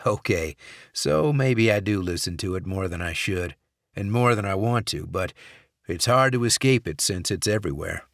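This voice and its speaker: A man voicing a calm, smooth-talking undercover inspector in an audiobook. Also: fairly low pitch, moderate pace, no echo, studio quality.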